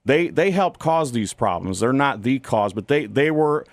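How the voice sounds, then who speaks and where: A man's voice: mid-range voice, expressive, normal speed, dry recording. An American man from Ohio speaking as a studio guest in a political radio interview, earnest and critical. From Toledo, Ohio, United States.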